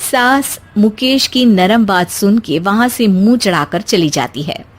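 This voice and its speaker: A woman's voice: medium pitch, measured pace, noisy background. A woman storyteller reading a scripted moral tale as narration.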